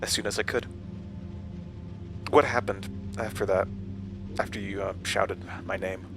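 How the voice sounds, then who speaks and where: Male, lowish voice, anxious questioner in horror audio drama, stammering and hesitant, music underneath. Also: fairly even intonation, measured pace, vocal fry. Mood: uneasy. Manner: hesitant, stammering, broken phrases. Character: troubled, anxious man gently questioning a troubled friend.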